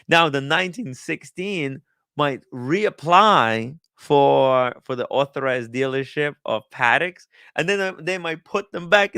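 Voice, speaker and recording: A man hosting a livestream in casual talk, haltingly thinking aloud as he goes. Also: mid-range voice, creaky, pushed and bright, clean tone, no echo, clean studio sound. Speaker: livestream host. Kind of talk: casual livestream chat, reading viewer comments and riffing.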